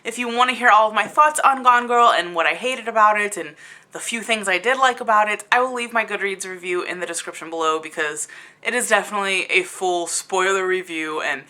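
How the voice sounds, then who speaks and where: Bright-voiced woman giving a blunt review. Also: medium pitch. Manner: exasperated, blunt, conversational with emphatic drawn-out words. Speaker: book reviewer hosting a video channel. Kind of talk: casual opinionated review to camera.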